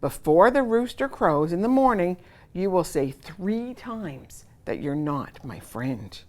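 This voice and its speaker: A woman's voice: lowish voice, big pitch swings, slow, darkish tone. Woman voicing a firm, earnest storybook teacher. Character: calm, firm male teacher foretelling and instructing.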